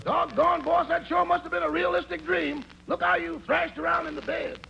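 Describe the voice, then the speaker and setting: A man acting a wry, familiar manservant and driver with a gravelly voice and dry comebacks in 1940s radio comedy. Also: very high voice, fairly even intonation, normal speed, full character voice. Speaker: comic sidekick on a radio show. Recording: dull, very noisy vintage radio recording.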